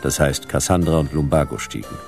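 An actor playing a hard-boiled, world-weary detective in a radio crime drama, laconic and sardonic. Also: male voice, rumbling low, brisk, vocal fry, soft background music. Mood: laconic narration.

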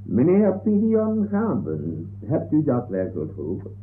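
Stern, pompous courtroom judge. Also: male voice, mid-range voice, huge pitch swings, unhurried. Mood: stern, commanding. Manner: pompous, formal, authoritative. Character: stern, pompous male judge with a football weakness. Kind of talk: 1960s comic radio play acting. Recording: dull 1960s radio recording.

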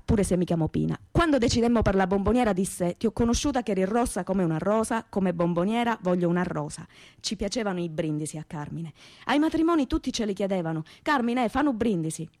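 A woman's voice: medium pitch, a little mellow, dry recording, close to an everyday voice. An actress playing a fiery young working-class woman with a Calabrian southern Italian accent, telling her story colloquially and emphatically in a radio theatre monologue. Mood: fond recollection.

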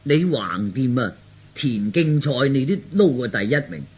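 A man's voice: medium pitch, animated, normal speed, slightly rough. A man voicing a boastful, hard-drinking middle-aged father who dotes on his daughter, with hearty, tipsy banter in Cantonese storytelling drama. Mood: boastful, disbelieving.

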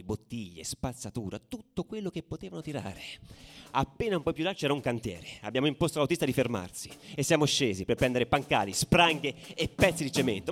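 An actor playing a fervid football ultra who recounts stadium riots in a theatrical radio monologue. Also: male voice, mid-range voice. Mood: frenzied, riotous. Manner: theatrical, swinging between hushed murmur and loud declaiming. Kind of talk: dramatic radio theatre monologue over music. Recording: loud musical backing under the voice. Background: music.

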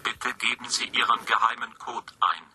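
An actor playing an impassive, formal psychiatric testing machine in a science fiction radio play, speaking in clipped clinical phrases. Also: male voice, mid-range voice, normal speed, background noise, close to an everyday voice.

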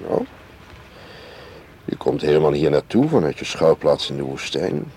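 An actor playing a suave, cagey foreign spy in a radio play, teasing and unhurried, with an ironic way of talking. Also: male voice, rumbling low, big pitch swings, warm tone, full character voice. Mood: dry teasing. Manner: ironic, leisurely, ends remarks with questioning tags. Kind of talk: radio drama acting. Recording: clearly hissy old radio play recording.

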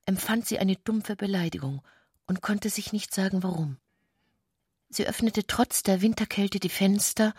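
Low, husky woman narrator, dry studio. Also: darkish tone, no echo. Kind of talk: literary radio-play narration.

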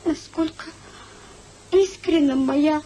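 An actress playing a proud, spirited young society wife, dignified and emphatic, in a 1950s radio comedy. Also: female voice, high voice, takes it slowly, warm tone. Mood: remorseful, earnest. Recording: hissy 1950s radio play recording.

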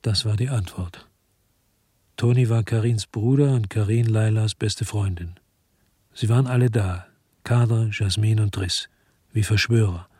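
A man's voice: lowish voice, measured pace, warm tone, breathy edge, no echo, clean studio sound. A man playing a weary, disgusted hard-boiled investigator, narrating his own story in a brooding, terse way in a German radio drama. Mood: sober, terse.

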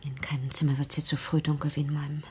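A woman acting in a radio play as a fearful, accusing, reclusive grown daughter, brooding and intense, with a breathy, whispery voice. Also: deep voice, flat delivery, fast talker.

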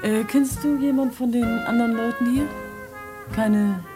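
An actress playing an anxious, discreet companion of a shady man, cautious and hushing, in a radio drama. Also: a little high, measured pace, music in the background, natural voice. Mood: uneasy. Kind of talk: post-war German radio play dialogue.

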